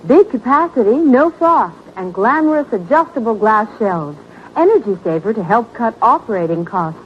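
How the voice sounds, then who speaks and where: Lively, fairly high-voiced woman in radio ad. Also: moderate pace. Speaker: commercial announcer. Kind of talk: vintage appliance radio ad, promotional read. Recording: dull vintage radio ad, faint noise.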